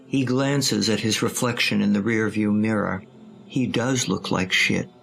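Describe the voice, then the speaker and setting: A man's voice: lowish voice, fairly even intonation, measured pace, vocal fry, a bit bright, soft background music. A man reading scripted present-tense narration for an audio drama.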